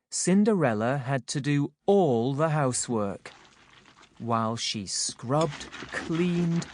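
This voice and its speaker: A woman narrating a children's audiobook from a script, in a measured, expressive, clearly phrased way. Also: a bass voice, noisy background.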